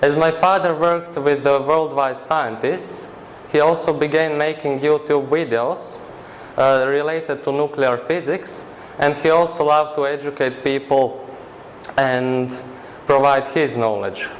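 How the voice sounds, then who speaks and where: A teenage boy with a Croatian accent answers interview questions earnestly and at a measured pace, his voice sitting a little high. He is a native Croatian speaker, and his phrasing halts now and then. A faint hiss of noise runs underneath. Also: fairly even intonation, poor audio. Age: teens. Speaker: interview guest.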